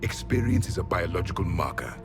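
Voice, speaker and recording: Deep, grave alien warrior. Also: male voice, very animated, brisk, music in the background. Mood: cold, grave. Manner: grave, disdainful, clipped pronouncements. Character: proud, bitter alien warrior, last survivor of his race.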